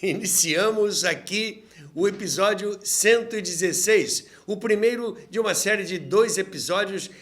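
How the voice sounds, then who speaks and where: A man's voice: high voice, a little slow, fairly bright tone. A Brazilian man hosting and narrating radio history as a storyteller, with theatrical rhetorical questions. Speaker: podcast host and narrator.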